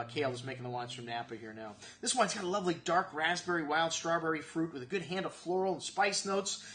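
A man's voice: fairly high pitch, fairly bright tone. A man in his forties reviewing wines on camera in a wine shop, chatting casually with many fillers and running through tasting notes. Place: wine shop set with shelves, indoors.

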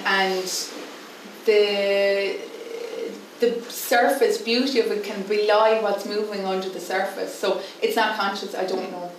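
A woman's voice: mid-range voice, slight background noise. Irish woman poet on stage, candid, lots of ums, roomy hall, mic far off. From Ireland. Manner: candid, thinking aloud with fillers. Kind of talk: panel Q&A answers. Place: stage in a dim theatre hall. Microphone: far camera.

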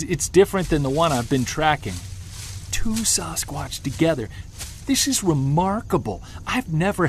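An actor playing a Bigfoot hunter on an expedition, talking low and excited into his field recorder, with faint outdoor sound behind him. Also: a little hiss or hum. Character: obsessed male Bigfoot hunter alone in woods. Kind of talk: audio drama field-recorder monologue. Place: woods at night and day.

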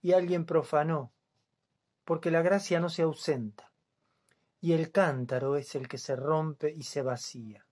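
A man in his fifties with a Buenos Aires accent reads verse in a soft-edged, dark tone. His delivery is very slow and solemn, with long silences between phrases. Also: a little high, steady tone. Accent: Buenos Aires Spanish. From Buenos Aires province, Argentina. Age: 50s. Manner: deliberate, with long pauses. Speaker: poet. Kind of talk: solemn poetry reading.

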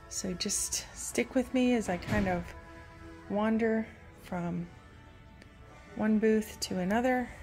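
A woman vlogging a casual walk-through of a large indoor antique mall, talking in a meandering, unhurried way with lots of fillers. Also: lowish voice, a little mellow. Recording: phone audio with faint store music. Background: store music playing faintly.

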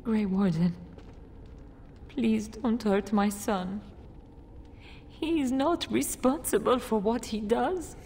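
A woman's voice: a little high, slow, pauses often, a little mellow. An actress playing a frightened noblewoman in a fantasy game, a mother who speaks hesitantly and pleadingly. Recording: reverberant stone hall, faint noise.

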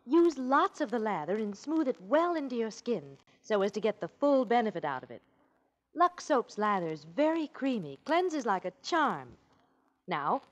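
An actress playing a bossy, helpful friend in a 1940s radio commercial, brisk and instructive. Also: female voice, on the high side. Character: bossy, helpful woman friend giving beauty advice. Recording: muffled 1940s radio recording.

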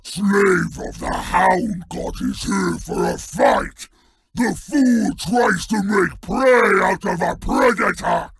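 A man's voice: sits high, takes it slowly, background noise, exaggerated voice. An actor voicing a hulking, boastful, ever-hungry male troll king for a fantasy video game, growling and bellowing in blunt, broken speech. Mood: aggressive, roaring. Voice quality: gravelly, growling.

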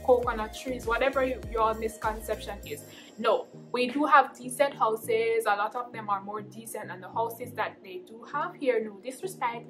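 A young woman in her twenties with a Jamaican accent, chatting candidly to camera in her living room with lots of ums. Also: fairly high pitch. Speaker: vlogger. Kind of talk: casual chat to camera. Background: soft background music and a washing machine.

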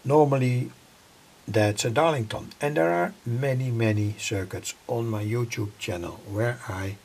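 A man explaining a homemade electronic circuit informally, in a halting, deliberate way with pauses. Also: mid-range voice, gravelly, a little mellow, slight background noise. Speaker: electronics hobbyist presenter.

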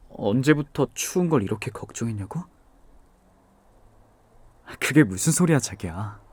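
A young man voicing a gentle, devoted boyfriend in an ASMR audio drama, speaking softly and tenderly. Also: mid-range voice, breathy edge, dry recording. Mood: hurt, apologetic. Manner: soft-spoken, hushed and tender. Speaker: voice actor.

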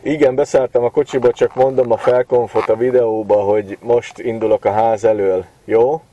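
A man in his forties giving a relaxed, chatty gadget review, pausing often with filler sounds, recorded on a camera mic. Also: mid-range voice, poor audio. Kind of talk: casual product review vlog.

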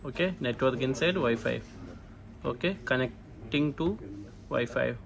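A man who is not a native English speaker gives a hands-on setup walkthrough in short, halting phrases with frequent okays. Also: mid-range voice. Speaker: tutorial presenter, technician. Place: indoor shop or office. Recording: noisy room, clear background noise.

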